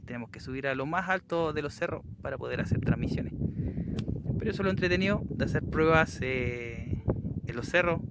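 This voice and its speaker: A man's voice: on the high side, brisk, heavy background noise. A man with a Chilean Spanish accent talking casually as an amateur radio operator doing a field test outdoors. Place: outdoors on a hilltop.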